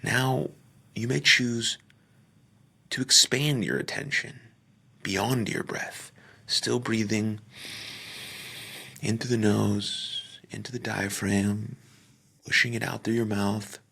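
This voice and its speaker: A man leading a guided meditation, speaking gently in short phrases with pauses and sometimes trailing off into a near-whisper. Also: fairly low pitch, fairly even intonation, creaky, slightly rough. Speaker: podcaster. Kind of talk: guided meditation, soft slow instruction.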